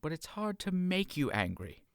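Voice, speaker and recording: A man acts a sharp-tongued young servant in a radio play who teases his friend. His pitch moves around a lot. The sound is dry. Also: big pitch swings, moderate pace, smooth, dry recording. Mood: mocking. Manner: teasing, mocking. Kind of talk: audio drama acting.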